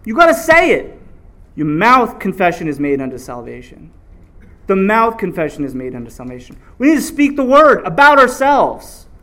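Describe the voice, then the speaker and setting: A man preaching a sermon, reading scripture aloud and drawing answers back from the congregation. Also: high-pitched. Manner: animated call-and-response preaching.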